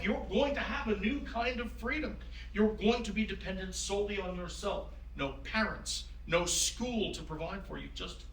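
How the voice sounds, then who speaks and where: Man acting as genial wise founding father, courtly measured phrasing, high bright voice, staged reading, distant roomy recording. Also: a little hiss or hum. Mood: earnest, advising. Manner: courtly, old-fashioned phrasing, measured and avuncular. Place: room at a live staged reading.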